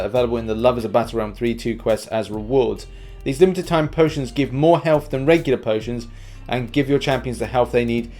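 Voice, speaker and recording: A man presents video game news in a conversational, informal style. His voice sits at an ordinary male pitch. Music plays underneath his commentary. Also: darkish tone. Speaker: host of a gaming news video. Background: background music.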